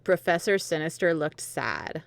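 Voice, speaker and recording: A woman's voice: low voice, big pitch swings, unhurried, creaky, no echo. A woman narrating a dramatic reading of fan fiction, with some commentary of her own.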